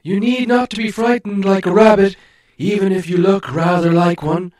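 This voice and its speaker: A man voicing a proud great male eagle in a lofty, loud and drawn-out way. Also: sits high, steady tone, echoey room, far from the mic, pitched above the performer's own voice, lightly acted.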